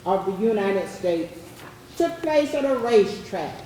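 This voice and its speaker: A woman delivering scripted historical narration in a grave, deliberate manner. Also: mid-range voice, big pitch swings, dark tone. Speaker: readers theatre narrator. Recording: very echoey, distant mic, faint noise.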